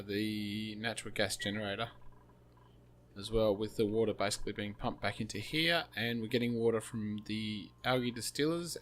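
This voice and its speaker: A slightly hoarse man hosting a gaming channel, thinking aloud with many fillers as he plays. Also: fairly low pitch, a bit bright, a little hiss or hum. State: slightly hoarse, losing his voice. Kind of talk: casual gameplay commentary.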